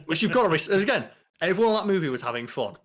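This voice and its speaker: A young man co-hosting a podcast in casual chat, talking in an animated, profane way. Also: sits high, rapid-fire. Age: young adult. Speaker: podcast co-host. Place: bedroom, on a video call.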